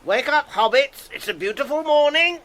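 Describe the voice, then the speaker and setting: An actor with an English accent playing a wry, brisk gentleman hobbit in a radio drama, expressive in manner. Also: very high voice. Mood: brisk, rousing. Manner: animated, expressive.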